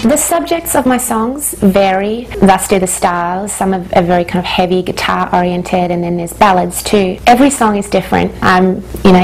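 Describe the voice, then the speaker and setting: Soft-spoken woman singer. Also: lowish voice, a little hiss or hum, poor audio. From Australia. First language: Hungarian. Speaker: singer-songwriter. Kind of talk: reflective interview monologue.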